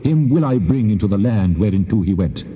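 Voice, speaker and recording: A man's voice: lowish voice, lively intonation, brisk, lightly acted. A man playing a wrathful, majestic God in a dramatized scripture recording, speaking in solemn declamation. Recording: muffled, long cavernous reverb.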